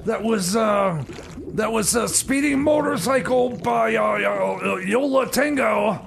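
A man playing a flustered, distressed radio DJ in a comedy radio play, halting and stammering as he dithers. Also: crowd noise, exaggerated voice. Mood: rambling, hesitant. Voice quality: creaky, high-pitched. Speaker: comedy radio show host. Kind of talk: comedy radio theater banter. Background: music playing behind the voice.

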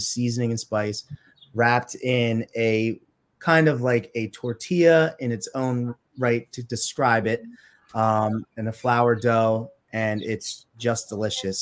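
Upbeat young man on a video call. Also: medium pitch. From Trinidad and Tobago. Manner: friendly, upbeat, with many "like" fillers. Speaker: podcast guest. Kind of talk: casual interview chat. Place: home room with plain walls.

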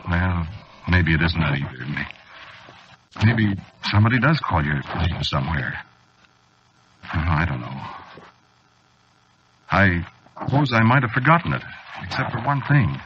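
An actor playing an anxious, haunted man confiding his obsession haltingly in a 1940s radio drama. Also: low voice. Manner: hesitant, rambling, halting confiding delivery. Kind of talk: 1940s radio drama acting, confessional narration. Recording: dull, noisy old radio recording.

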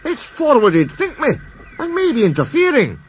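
A man's voice: very high-pitched, wildly swooping pitch, measured pace, a little hiss or hum, voice pushed up, full character voice. A man voicing a modest, loyal male steam engine with a Scottish accent, shy and earnest.

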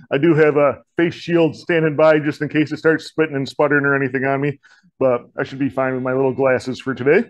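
A man in his forties, a shop teacher, talking through a torch welding demonstration in a relaxed, folksy way in an indoor metal shop. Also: a little high, no echo. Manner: relaxed, folksy, many "kinda" and "you know" fillers. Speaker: diesel technology teacher.

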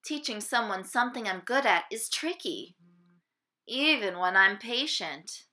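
A woman reading a children's picture book aloud in an expressive, deliberate way, phrasing it for young listeners. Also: a little high. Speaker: storybook reader.

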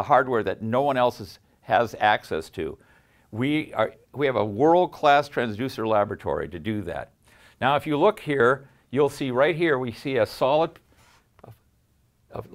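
An older man giving a technical demonstration as an audio engineer, explaining emphatically and proudly. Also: mid-range voice. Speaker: audio engineer presenting his design. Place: small acoustically treated home theater room.

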